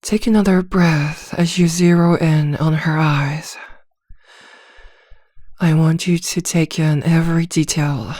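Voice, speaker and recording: A woman playing a vampire posing as a therapist in an ASMR roleplay, speaking softly and soothingly with quiet command, often near a whisper, close to the mic. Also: low-pitched, fairly even intonation, slow pace, no room sound. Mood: soothing, coaxing. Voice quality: soft, breathy, often slipping into whisper. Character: female vampire posing as a calm, teasing, controlling therapist. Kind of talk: ASMR roleplay, slow guided hypnosis. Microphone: close microphone, dry.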